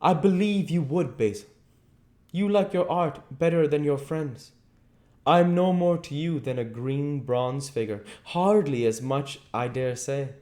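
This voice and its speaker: A man's voice: a little high, measured pace, warm tone, voice pushed up, close to an everyday voice. A man doing an anguished, vain young man.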